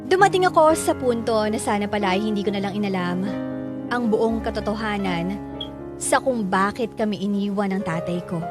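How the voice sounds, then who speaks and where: A man's voice: high-pitched, natural voice. An actor with a Filipino accent playing a bitter, hurt son who narrates his own story in a radio drama. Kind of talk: dramatic first-person narration. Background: music.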